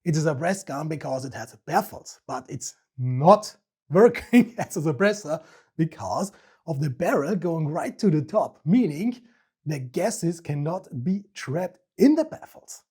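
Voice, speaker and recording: A man's voice: on the high side, expressive, measured pace, darkish tone, slightly rough. A man with an Austrian accent hosting a joking product review in a playful, self-mocking manner. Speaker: host of a firearms review channel.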